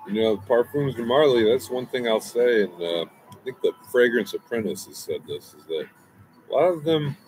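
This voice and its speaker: A man's voice: mid-range voice, expressive, normal speed, darkish tone, soft background music, poor audio. A man in his forties giving a casual, meandering fragrance review outdoors, with lots of restarts and asides, on a close webcam. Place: outdoors by a brick wall, smoking.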